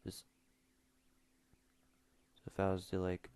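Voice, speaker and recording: A man's voice: deep voice, completely flat delivery, slow pace, a little mellow, clean tone, no room sound. A teenage boy streaming casually, mumbling and trailing off with fillers as he reads questions aloud. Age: teens. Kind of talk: casual livestream chat.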